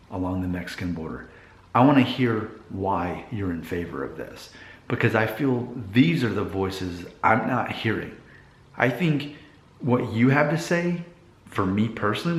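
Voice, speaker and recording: A man delivering an earnest opinion monologue as a vlogger, speaking directly and deliberately to his viewers with emphasis. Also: lowish voice. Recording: echoey room.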